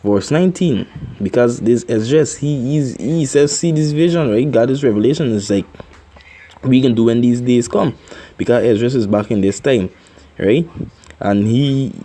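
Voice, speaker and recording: A man hosts a religious commentary, reading scripture aloud at a slow pace. He breaks off often with fillers and keeps asking "right?" His voice is mellow and soft-edged. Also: medium pitch.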